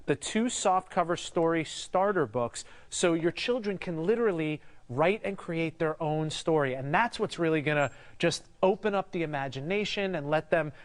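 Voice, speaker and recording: A man's voice: fairly high pitch, lively intonation, moderate pace, few pauses. A shopping channel host, a man, giving a casual, upbeat product presentation.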